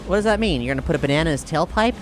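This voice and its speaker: A woman's voice: deep voice, expressive, racing. A woman playing a tabletop game, chatting casually and a little tentatively in and out of character. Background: soft music.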